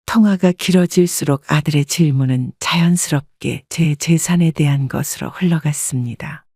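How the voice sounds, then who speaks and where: A woman narrating a first-person life story in a calm, measured, reflective way. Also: low-pitched, dry recording, studio quality.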